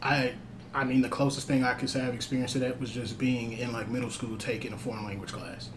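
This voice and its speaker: A man in his thirties guesting on a podcast, telling stories in a relaxed, slangy way. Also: mid-range voice, fast, noisy background. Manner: easygoing, slangy storyteller. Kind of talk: casual podcast chat.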